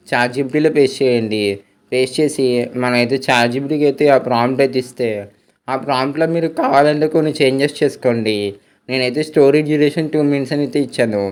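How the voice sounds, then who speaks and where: A man's voice: mid-range voice, smooth. A man hosting a step-by-step tech tutorial in voice-over, mixing English tech terms into his speech.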